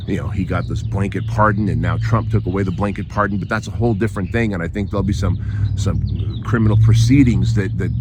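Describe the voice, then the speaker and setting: A hoarse man with a fairly low voice speaks straight to his listeners in an emphatic motivational monologue. He leans on repetition and keeps tossing in "right?" to keep them with him. A faint hiss of room noise sits under his voice. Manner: emphatic, repeats phrases, frequent tag "right?". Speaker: podcast host.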